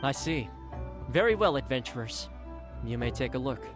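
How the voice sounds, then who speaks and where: A man's voice: medium pitch, animated, moderate pace, natural voice. A man voicing a stern, officious guard in a video game, speaking in a commanding, formal manner. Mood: relenting. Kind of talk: video game character acting. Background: music.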